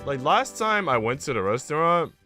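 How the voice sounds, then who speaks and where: A man's voice: fairly high pitch, very animated, normal speed, pushed and bright. A man live streaming in a slangy, conversational way, chatting casually as he plays through a visual novel. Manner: slangy, conversational, often addressing listeners as bro and dude. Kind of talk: casual chat while reading a visual novel aloud. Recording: music behind the voice. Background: game music.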